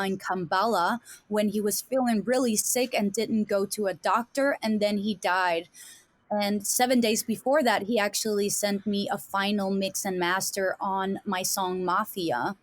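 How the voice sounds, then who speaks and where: A woman's voice: mid-range voice, steady tone, measured pace, no room sound. A woman from Denmark with a Danish accent, a musician guest on a podcast, talking casually with lots of fillers and restarts. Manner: rambling with many fillers and restarts. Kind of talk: casual chat.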